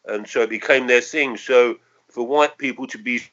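A man's voice: mid-range voice, moderate pace, a bit bright. Reflective older London man, muffled recording. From London, England. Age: 60s. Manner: reflective, hesitant, circling back to qualify points. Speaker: interviewee. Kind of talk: reminiscing in an oral history interview.

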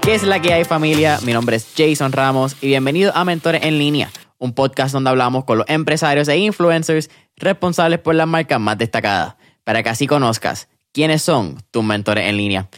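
A man hosting a casual interview podcast, relaxed and tossing English words into his Spanish. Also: medium pitch, normal speed, soft background music. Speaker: podcast host.

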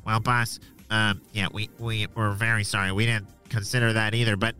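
A man acts out a nervous ninja sidekick in a kids' story. The voice is bright and sharp, hesitant and stammering, over faint music. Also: fairly low pitch, level tone, moderate pace. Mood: sheepish. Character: nervous, apologetic henchman ninja, hopeful underling. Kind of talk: children's audio story character.

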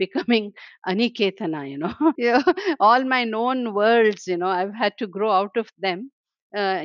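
An older woman with an Indian English accent, talking reflectively as a guest in an interview, with many "you know"s and soft laughs. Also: mid-range voice, dry recording, lo-fi sound. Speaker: translator and scholar guest.

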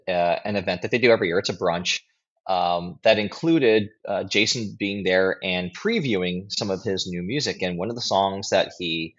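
A man giving conversational opinion commentary as a guest, blunt, informal and emphatic. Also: deep voice. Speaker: guest commentator, political strategist and writer. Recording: slightly dull, lo-fi audio.

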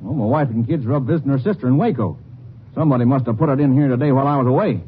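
A man's voice: medium pitch, very fast, natural voice. An actor playing a defensive man who insists he is innocent, in a 1950s radio drama. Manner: protesting, insistent. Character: defensive man accused of insurance fraud. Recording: muffled old radio broadcast with hiss.

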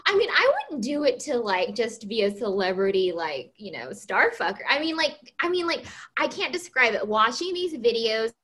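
A woman in her mid-thirties chats casually with a co-host, bubbly and gushing, with giggles slipping in. Her voice sits a bit high and swoops widely up and down. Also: big pitch swings, normal speed, a bit bright. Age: 30s. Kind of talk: casual chat.

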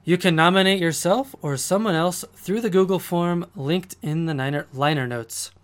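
Higher-voiced upbeat male podcast host. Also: moderate pace. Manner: upbeat, laughs easily, loose phrasing. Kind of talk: casual interview hosting and sponsor reads.

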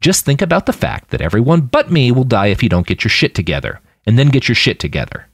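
A man's voice: mid-range voice, sing-song, fast talker, no room sound, clean studio sound, pitched above the performer's own voice. A man voicing a casual, profane, cheerfully callous post-human fighter in an audiobook story. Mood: exasperated. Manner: casual, profane, sardonic, offhand. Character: gruff irreverent blade-armed post-human male fighter, cheerfully callous. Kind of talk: fiction audiobook character voice.

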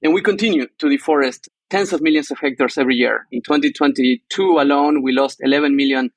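A man's voice: medium pitch, quick pace, no echo. A man from Argentina with an Argentine Spanish accent, explaining things as an interview guest. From northern Argentina. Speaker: startup co-founder, interview guest.

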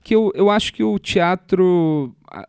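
A host from Minas Gerais with no regional accent, asking questions in a relaxed, joking interview chat. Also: mid-range voice, a little slow, gravelly, clear, resonant tone, no room sound, clean studio sound. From Minas Gerais, Brazil.

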